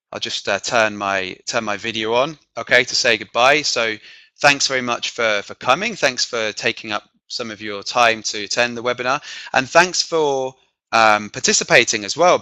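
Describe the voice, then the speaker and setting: A man from the south of England, with an accent mixing southern and northern English, presenting a teaching webinar. He checks in with "okay?" often and fills pauses with um. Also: medium pitch, sharp tone, no echo. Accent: mixed southern and northern English. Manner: frequent "okay?" check-ins and filler ums. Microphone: computer microphone on a video call.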